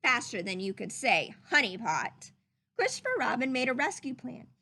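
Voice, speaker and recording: A woman reading a story aloud to children like a teacher, with expressive, dramatic emphasis. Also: high voice, brisk, creaky.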